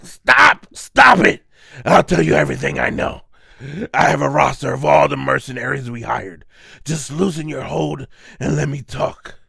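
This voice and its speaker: A man's voice: on the high side, expressive, normal speed, creaky, sharp tone, slightly rough, no echo, clean studio sound, pitched above the performer's own voice, full character voice. A man voicing a cowardly, pompous henchman in a manga voice-over, frantic and blustering. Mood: frantic pleading. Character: cowardly, corrupt henchman, pompous then pleading in panic. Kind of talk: amateur manga voice-over acting.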